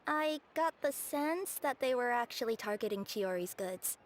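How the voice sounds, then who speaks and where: Eager young cat-spirit courier girl, acted by a woman: high voice, hesitant and apologetic, anime game with music underneath. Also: lively intonation, moderate pace, lightly acted. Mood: evasive. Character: young female cat yokai courier, earnest, flustered, eager to please. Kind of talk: anime-style video game voice acting.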